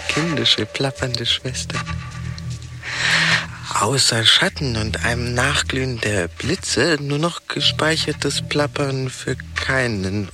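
An actor playing a bitter, dreamy male spirit in a radio play, talking in a halting, murmuring monologue that keeps repeating itself. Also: mid-range voice, close to an everyday voice. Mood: brooding. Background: music.

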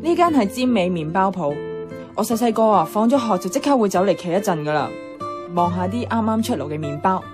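A woman's voice: mid-range voice, expressive, a little fast, over music, lightly acted. An actress playing a nostalgic, adventurous young woman in a radio drama, chatting with a Hong Kong Cantonese accent. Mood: chatty nostalgic. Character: nostalgic, playful, adventurous young woman revisiting her childhood neighbourhood.

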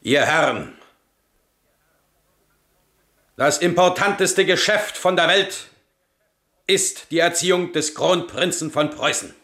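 A gravelly, domineering soldier king in a vintage radio play. He talks slowly, with a bright, sharp edge. The room around his voice is roomy and echoey. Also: fairly high pitch. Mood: lordly. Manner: blustering, domineering. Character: gruff, hot-tempered old soldier king. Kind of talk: 1970s radio drama acting.

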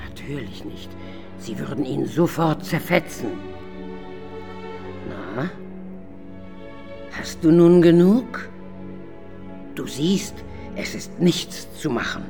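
Imperious female night spirit, weary, grumbling, slow theatrical delivery, radio play, prominent music behind. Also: sits low, animated, gravelly, dark tone, full character voice. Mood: urgent warning. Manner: theatrical, sighing, at times whispering. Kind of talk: German radio drama acting.

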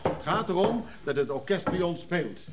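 An actor playing a weary, solicitous antiques dealer in a Dutch radio drama, speaking in short, hesitant sentences. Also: male voice, high-pitched, expressive, normal speed, very noisy. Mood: brisk, practical. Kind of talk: naturalistic Dutch radio play acting.

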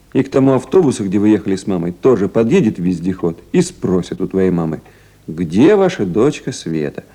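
Kindly soviet duty officer in a 70s radio play, gentle coaxing way, voice moves up and down a lot. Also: male voice, on the low side. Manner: gentle and coaxing with the child, businesslike on calls. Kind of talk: 1970s Soviet radio drama acting.

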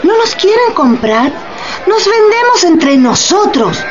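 A woman's voice: extremely high pitch, big pitch swings, slow pace, exaggerated voice. A woman playing a defiant street protester in a radio play, colloquial and emphatic. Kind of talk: radio drama acting. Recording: dull lo-fi, noisy street ambience.

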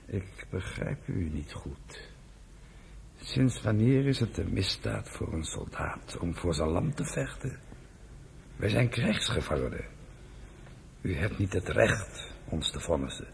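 A man playing a calm, principled old resistance fighter in a Dutch radio drama, with gravelly, deliberate, dignified delivery. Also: deep voice, a little hiss or hum, full character voice. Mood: calm defiance. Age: older.

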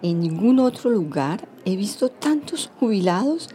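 Mellow, measured Spanish-speaking woman minister acted out. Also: mid-range voice, very animated, slight background noise, natural voice. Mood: self-satisfied, matter-of-fact. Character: cynical, self-satisfied female government minister for gerontology. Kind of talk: satirical interview, formal answers in Spanish.

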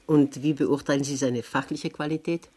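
An actress playing a strong-willed, shrewd seventy-year-old aunt in a 1960s radio play, brisk and admonishing. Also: female voice, extremely low pitch, steady tone, mellow, breathy edge. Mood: frank, measured. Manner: brisk, admonishing, matter-of-fact. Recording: 1960s radio recording with background noise.

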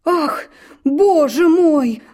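Woman putting on a shrill bossy mother. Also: drawn-out words, a little mellow. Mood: alarmed. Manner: scolding, shrill exclamations. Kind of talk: storybook reading.

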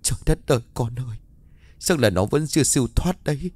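A man's voice: mid-range voice, normal speed, darkish tone, voice pushed up, close to an everyday voice. A man voicing an anxious, distraught older mother in a horror story, pleading and wailing. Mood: tearful.

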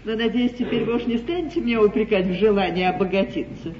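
An actress playing a cunning, haughty duchess with coolly mocking, ironically polite, theatrical delivery in a stage comedy. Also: female voice, medium pitch, fast, echoey room, crowd noise, close to an everyday voice. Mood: triumphant, ironic. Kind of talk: classical stage comedy acting. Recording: muffled, hissy 1950s theatre broadcast.

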